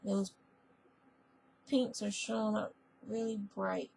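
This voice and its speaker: A woman whose voice is hoarse from a spreading cold, chatting casually in a crafting vlog and often rambling and correcting herself. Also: mid-range voice, fairly even intonation, slow pace, darkish tone, low-quality recording. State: hoarse, losing her voice. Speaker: fiber arts vlogger. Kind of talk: casual chat.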